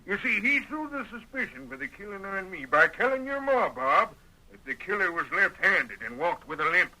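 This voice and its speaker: On a tinny old radio recording, a crusty old Western patriarch. His voice is very bright and forceful, set fairly high, with a folksy American Western drawl. He sounds shrewd, bossy and cantankerous. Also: big pitch swings, heavily acted. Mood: confiding. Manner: folksy, cantankerous, deliberate storytelling.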